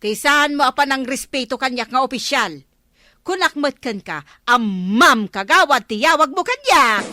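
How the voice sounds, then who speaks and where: Bossy councilwoman, Ilocano accent, high bright voice, indignant, greedy, radio comedy. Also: animated, normal speed, no echo, clean studio sound. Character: haughty, money-grubbing female village councilor.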